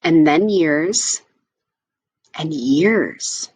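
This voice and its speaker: A woman tells a children's story in an expressive read-aloud style. Her pitch moves freely and animatedly as she shapes each phrase with care. The sound is dry, with no room echo. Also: breathy edge. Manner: expressive, with deliberate phrasing and asides to listeners.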